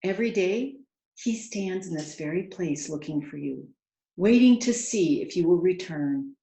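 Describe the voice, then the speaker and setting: A woman's voice: fairly low pitch, expressive, a little slow, mellow. A woman voicing a kindly, eager royal manservant in a storybook, urgent and earnest. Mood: excited, exclaiming. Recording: roomy, echoing home recording.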